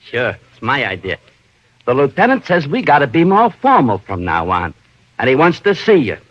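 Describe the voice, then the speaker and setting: A man playing a dim-witted, grumbling police sergeant with a husky voice and blustering, slow-witted manner in an old radio drama. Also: medium pitch, wildly swooping pitch, dry recording. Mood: gruff, blustering. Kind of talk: 1940s radio comedy-drama acting. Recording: dull, dry old radio recording.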